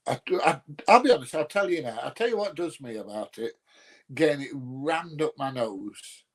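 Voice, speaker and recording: A man with a Nottinghamshire accent that sounds close to Yorkshire, hosting a livestream with casual, blunt, drily grumbling chat. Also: medium pitch. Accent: Nottinghamshire, Yorkshire-sounding English. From Nottinghamshire, England. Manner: blunt, colloquial, grumbling dry humour. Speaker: livestream host. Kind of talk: casual chat answering live viewer comments.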